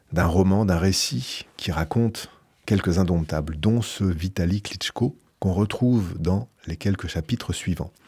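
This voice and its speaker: A man who is a radio book columnist, reading a book aloud in a measured, emphatic way and then reviewing it, in a radio studio. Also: deep voice, mellow, husky, no echo.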